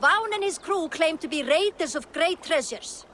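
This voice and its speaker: Casual, wry villager for a game, high voice, faint noise behind. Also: female voice, expressive, moderate pace, a bit bright.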